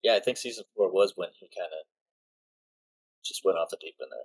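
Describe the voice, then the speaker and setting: A man's voice: low-pitched, fairly quick, low-quality recording. A man co-hosting a podcast, chatting in an easygoing way with frequent hesitations. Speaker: podcast co-host. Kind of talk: casual chat.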